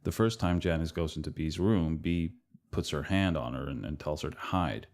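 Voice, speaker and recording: A man with a very low-pitched voice talks through a film as its director. His delivery is measured and matter-of-fact, explaining choices plainly. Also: a little mellow. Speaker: film director giving commentary.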